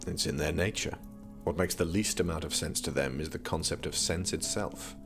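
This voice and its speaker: A man's voice: rumbling low, moderate pace. A man acting in an audio drama as an erudite, teasing young prince who studies magic, speaking in a dry, formal, pedantic way. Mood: didactic. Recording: music behind the voice.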